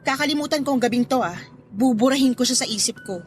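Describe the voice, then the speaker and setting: Actress as young girlfriend, high-pitched, firm yet forgiving and teasing, earnest radio drama delivery over faint music. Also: fast, lightly acted. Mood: firm, serious. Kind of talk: Tagalog radio drama acting.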